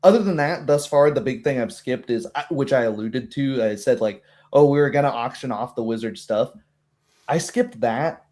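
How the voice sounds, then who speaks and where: A man's voice: medium pitch, moderate pace, darkish tone. Man chatting casually, rambling through retellings. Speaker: game master. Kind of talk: casual chat about a tabletop game session.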